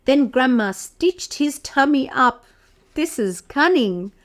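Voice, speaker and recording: Slow, high-voiced woman reading to toddlers. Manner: expressive, addresses young listeners with questions. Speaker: children's storyteller. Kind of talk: read-aloud picture book narration for toddlers.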